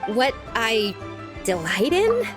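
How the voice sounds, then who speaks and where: An earnest young woman in a video game, independent yet unsure of herself. Her voice is fairly high and lively in pitch, plain-spoken, with faint music behind. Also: slow. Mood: hesitant. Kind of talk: video game character acting.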